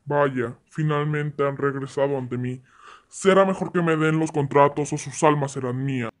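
Casting: un hombre como diablo intrigante de caricatura, amenazante, algo agudo. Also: male voice, on the high side, fairly even intonation, normal speed, natural voice. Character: scheming male devil, ruler of the underworld. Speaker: fan dub voice actor. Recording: music playing behind.

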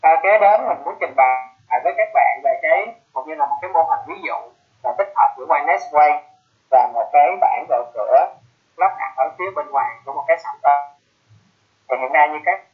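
A man giving a live technical presentation in an explanatory way, halting and pausing mid-phrase. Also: fairly high pitch, lively intonation. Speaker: webinar presenter. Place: online video conference. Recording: muffled phone-like web call audio.

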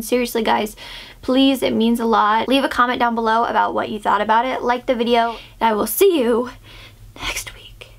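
A woman in her late teens with an American accent, telling a scripted personal story as a video blogger. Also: fairly high pitch. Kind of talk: scripted personal storytelling to camera and over animation.

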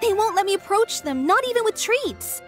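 A woman's voice: very high-pitched, big pitch swings, normal speed, fairly bright tone, soft background music, heavily acted. A woman voicing an earnest, anxious young woman who runs a cat shelter. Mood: fretful. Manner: earnest and fretful. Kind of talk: video game voice acting.

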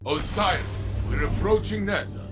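An actor voicing an earnest, calm male warrior ally in a video game, speaking in a measured, encouraging way. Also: high-pitched, music in the background, natural voice. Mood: steady.

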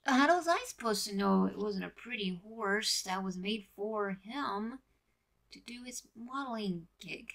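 A woman's voice: mid-range voice, animated, unhurried, gravelly, a bit bright. A woman voicing a lovestruck teenage girl in a fan audio drama, hesitant, flustered and defensive.

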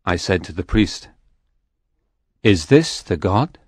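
A man voicing the soul of a young fisherman, a solemn spirit telling its tale in a slow, grave manner. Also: deep voice, very animated, a little mellow, husky, no echo, clean studio sound, heavily acted. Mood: recounting. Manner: slow, grave storytelling delivery. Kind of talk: audiobook reading.

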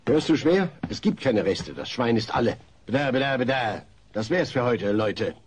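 A man's voice: medium pitch, lively intonation, normal speed, husky. An actor playing a dry-witted, exasperated family father in a sitcom audio play. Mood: irritated. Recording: slightly noisy cassette transfer.

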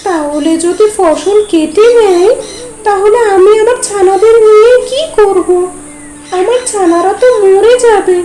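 A fretful little mother tailorbird from a cartoon, high and pleading, as a woman voices her. She talks slowly over soft music in a roomy space. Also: a little hiss or hum. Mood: anxious pleading. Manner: pleading, worried.

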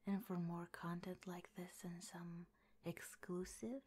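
A woman quietly whispering a breathy outro as an ASMR video creator. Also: fairly low pitch, flat delivery, slow pace, slight background noise.

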